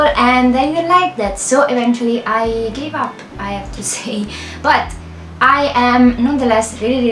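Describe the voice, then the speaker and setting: A woman's voice: on the high side, roomy sound, a little hiss or hum, low-quality recording. Chatty Italian woman, rambling voiceover. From Italy. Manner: rambling, self-correcting, chatty with small laughs. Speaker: game builder and video creator. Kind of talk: casual voiceover over gameplay.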